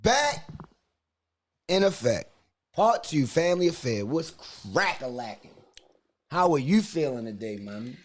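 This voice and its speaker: A man's voice: a little high, very animated, measured pace, long pauses, slight background noise. A young man with a Baltimore accent hosting a podcast, loose and slangy as he chats. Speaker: podcast host. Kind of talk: casual podcast chat.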